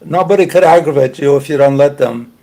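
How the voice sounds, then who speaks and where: An older man hosting a talk show, speaking slowly and haltingly, clearing his throat often and losing his voice. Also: on the high side, dark tone, distant mic, low-quality recording. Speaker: host. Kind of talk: casual talk show monologue.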